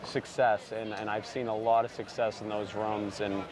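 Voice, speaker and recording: A man's voice: fairly low pitch, level tone, moderate pace, crowd noise, rough recording. A man who founded a barber brand, talking earnestly in a sit-down interview and leaning on lots of "you know" fillers. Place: outdoors at a busy trade convention.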